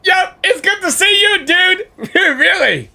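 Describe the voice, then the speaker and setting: A woman giving casual, excitable, rambling live reaction commentary as a gaming host. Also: very high voice, takes it slowly, creaky, soft background music.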